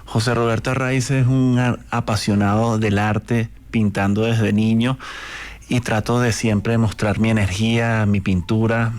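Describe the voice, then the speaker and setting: A man from Venezuela, a painter invited onto a radio show, speaking earnestly and haltingly with repeated words. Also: fairly low pitch, little pitch movement, darkish tone. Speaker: guest painter. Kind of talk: radio interview.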